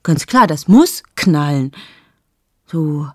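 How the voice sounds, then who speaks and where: Low-voiced, amoral woman journalist in her late 30s, confiding in hushed tones, dry studio radio play. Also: animated, takes it slowly, no room sound, exaggerated voice. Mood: brooding. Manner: intimate, addresses the listener, slips into whispers. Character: cold amoral female journalist, 38, confessing. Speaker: radio drama lead.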